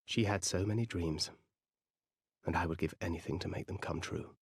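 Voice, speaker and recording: An actor playing a solemn, protective adventurer haunted by regret in a fantasy game, speaking in an earnest, measured way. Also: male voice, low-pitched, vocal fry, breathy edge, dry recording, clean studio sound, full character voice. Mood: tender, wistful. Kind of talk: fantasy video game cutscene acting.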